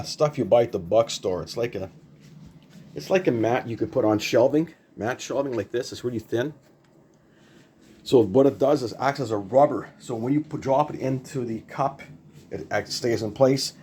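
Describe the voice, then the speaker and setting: A man's voice: medium pitch, normal speed, warm tone, breathy edge. An older man giving a casual, unscripted hands-on demonstration in a rambling, repetitive, plain-spoken way, like a hobbyist showing off a homemade project. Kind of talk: casual unscripted show-and-tell.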